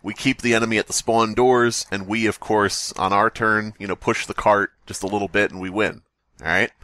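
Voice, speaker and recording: A man with a fairly low voice, recorded dry and close. He tells casual anecdotes like a podcast host chatting with friends, animated and loose with profanity. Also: normal speed, no room sound. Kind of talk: casual storytelling among friends.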